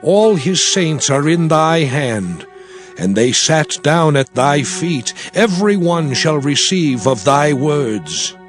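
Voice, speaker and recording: An actor playing Moses as a solemn old prophet, declaiming scripture slowly and deliberately in a dramatized reading. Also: male voice, fairly high pitch, big pitch swings, soft background music. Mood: reverent. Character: Moses, solemn old male prophet blessing and exhorting his people.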